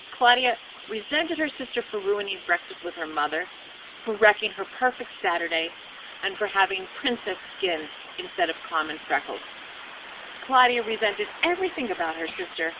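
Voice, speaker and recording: A woman reading her own children's book aloud as narrator, with animated delivery and dramatic emphasis. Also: vocal fry. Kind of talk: expressive storybook narration. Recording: phone-like narrow band, loud hiss and rumble.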